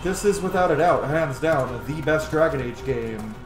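A man's voice: a little high, animated, normal speed. Let's player, male, thirties: enthusiastic casual game commentary, free with opinions, roomy sound over background music. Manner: enthusiastic, opinionated, swears freely. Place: small room at home, indoors. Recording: roomy home recording under game audio.

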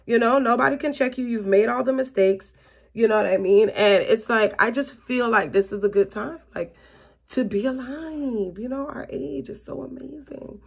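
A woman's voice: mid-range voice, vocal fry. A woman in her late thirties with a Southern US accent, chatting casually to viewers while doing her makeup in a small bedroom. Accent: Southern US (Florida). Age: late 30s. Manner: chatty, rambling, laughs often, addresses viewers as you guys. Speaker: beauty vlogger. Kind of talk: casual chatty get-ready-with-me vlog. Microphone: camera in front at close range.

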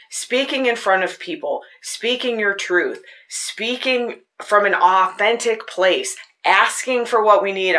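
A woman with a fairly low voice hosting a podcast, telling personal stories in an earnest, searching way. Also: pushed and bright. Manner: earnest, personal storytelling with frequent restarts. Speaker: podcast host. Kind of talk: casual spoken reflection. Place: Tucson.

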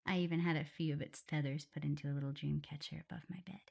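A woman's voice: low-pitched, steady tone, quick pace. A woman playing a shy, caring, self-doubting young werewolf in an intimate ASMR roleplay, speaking hesitantly. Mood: amused, chatty. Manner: hesitant, stammering, soft, breathy whispers at times.

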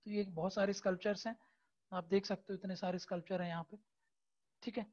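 A man's voice: high voice, level tone, a little fast, a little mellow. Hesitant, explaining male teacher on lo-fi audio. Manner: keeps checking understanding with tag questions; frequent hesitation fillers, explanatory and repetitive. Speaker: exam-prep teacher. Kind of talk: online lecture over a screen recording.

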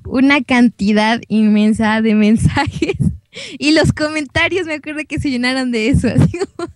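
A young woman chats as a guest. Her voice sits on the high side and is recorded dry. She tells anecdotes informally and with a giggly manner. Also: normal speed, dry recording. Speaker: voice actress guest. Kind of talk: casual chat, telling anecdotes.

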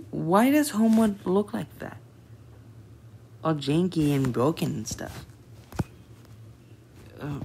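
A woman voicing an excitable, anxious, childlike gem playmate in an amateur cartoon comic dub, stammering and slangy. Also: medium pitch, a little hiss or hum. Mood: hesitant, curious.

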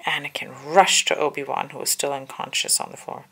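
Woman narrating a kids book aloud, low voice, gravelly fry, careful and dramatic. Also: brisk, fairly bright tone, whispered. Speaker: storybook narrator. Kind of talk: children's book read-aloud, scripted narration.

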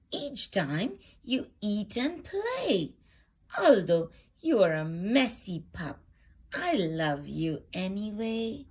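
A woman reading a children's storybook aloud as host, with expressive, deliberate phrasing meant for young children. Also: medium pitch, breathy edge.

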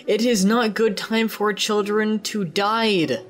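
Man acting a heroic creature, earnest, melodramatic, raised pitch, storybook reading, music behind. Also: steady tone, takes it slowly, a bit bright. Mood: urgent, defiant. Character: heroic, earnest Digimon creature from fan fiction.

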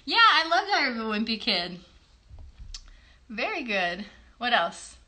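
A woman in her thirties hosts a children's livestream in a bright, fairly high voice. She talks in simple, encouraging phrases and asks plenty of questions, recorded on a phone in a small room. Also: big pitch swings, measured pace. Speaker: host and puppeteer of a children's show. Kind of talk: child-directed chat on a livestream. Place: small bedroom-like room indoors. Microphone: phone a short distance away.

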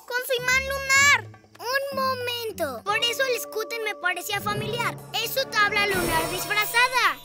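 A woman plays a bossy, level-headed little owl-girl hero in a cartoon, giving orders in a very high voice over loud music. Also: moderate pace. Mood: determined. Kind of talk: cartoon dub acting.

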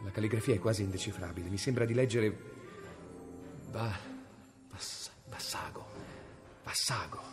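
An actor playing a stern medieval male inquisitor in a radio drama, measured and brooding as he reads from an old book. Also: on the low side, over music, lightly acted. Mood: intent.

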